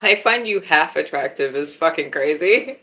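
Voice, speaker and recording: A young woman with a lowish, deeper voice chats casually on her live stream. She is laid-back and giggles often, swearing freely as she answers her chat. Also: animated, normal speed, pushed and bright. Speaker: livestreamer.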